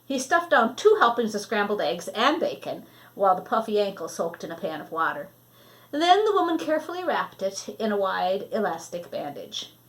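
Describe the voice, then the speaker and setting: A woman reading a children's story aloud expressively, with an animated delivery, as if to listeners in front of her. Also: mid-range voice, rough recording. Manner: animated, addresses listeners, pausing to show pictures. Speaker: storybook reader.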